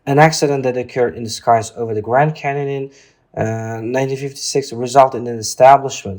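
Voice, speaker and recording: A man tutoring informally through an exam exercise, speaking haltingly and often checking in with a questioning tag. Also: medium pitch. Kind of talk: informal lesson, walking through a reading test.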